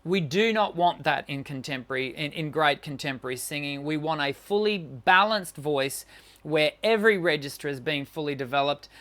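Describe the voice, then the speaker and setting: A man's voice: fairly high pitch, fairly bright tone. Middle-aged male singing coach. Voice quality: tenor voice. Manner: answers viewer questions, encouraging, many fillers and restarts. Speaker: singing teacher. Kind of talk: live-stream Q&A.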